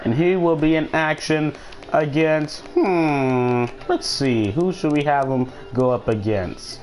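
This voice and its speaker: A man's voice: a little high, slow pace, a little mellow. A man chatting casually as he hosts a live gaming stream and commentates on the action, often repeating phrases for emphasis.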